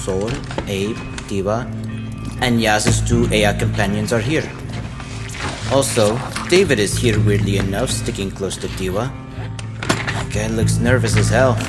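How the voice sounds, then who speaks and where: A man's voice: lowish voice, fairly even intonation, measured pace, natural voice. Man as a wry young recruit over prominent music. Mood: curious. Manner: casual, sardonic, swearing. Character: wry young male recruit, streetwise narrator. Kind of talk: audio drama narration and dialogue.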